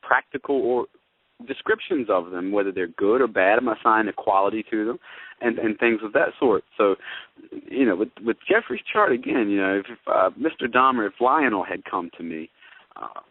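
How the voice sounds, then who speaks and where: Low-voiced man on a tinny line. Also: animated, fairly quick, no echo. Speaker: astrologer. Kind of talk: conversational debate about astrology. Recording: tinny, band-limited radio or phone-line audio.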